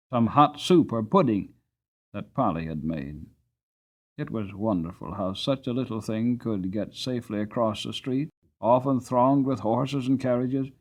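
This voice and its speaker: A male narrator reads a storybook aloud with plenty of drama. His pitch moves freely up and down, giving the telling an expressive, theatrical shape. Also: dull audio. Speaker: audiobook narrator.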